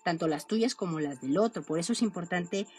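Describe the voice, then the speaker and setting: A woman's voice: lowish voice, fairly quick, a little mellow. A woman hosting a podcast, talking casually and tagging most sentences with a questioning "no?". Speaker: podcast host. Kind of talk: casual monologue. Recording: music playing under the voice.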